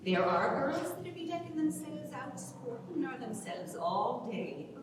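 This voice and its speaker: A woman's voice: high-pitched, animated, measured pace. A woman playing a busy young Irish farm girl, scolding and chatty, in a dramatic reading of a rural stage comedy. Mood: friendly, chatty. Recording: echoey hall from far off, faint noise.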